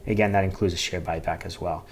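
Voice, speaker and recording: A man with a fairly low voice gives off-the-cuff financial commentary as an online video host. He speaks haltingly, circling back and correcting himself as he reads out numbers. There is some background noise on the amateur recording. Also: steady tone. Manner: halting, repetitive, self-correcting delivery. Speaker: finance YouTuber reviewing company earnings. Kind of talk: informal unscripted commentary, reading out figures.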